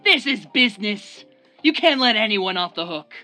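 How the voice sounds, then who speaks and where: A man's voice: high-pitched, big pitch swings, moderate pace, music in the background. A man playing a ruthless, unhinged gang boss, cornered and bitter, volatile and contemptuous, in a crime radio drama.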